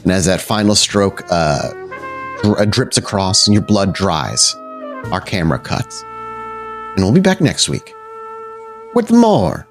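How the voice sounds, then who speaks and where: A man's voice: sits low, sing-song, a little slow, long pauses, faint music behind. A man, improvising narration as the storyteller of a tabletop game.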